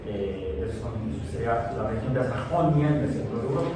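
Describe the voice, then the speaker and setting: A man's voice: mid-range voice, expressive, brisk. A man giving a digressive science lecture in a classroom, full of fillers and restarts. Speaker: science teacher. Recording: echoey room, distant mic, noisy.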